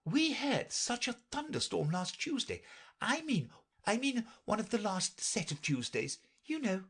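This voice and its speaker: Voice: mid-range voice, big pitch swings, a little slow, fairly bright tone, breathy edge, voice pushed up, exaggerated voice. A reader voicing a flustered, rambling queen in a storybook audiobook, with a fluttery, anxious manner. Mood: fretful. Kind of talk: audiobook character voice.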